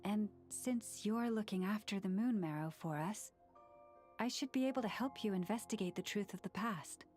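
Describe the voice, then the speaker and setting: Actress as a calm, soft-spoken priestess. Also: female voice, medium pitch, faint music behind, lightly acted. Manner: measured, soft-spoken, formal. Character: gentle young woman, a calm and thoughtful spiritual leader. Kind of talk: video game voice acting.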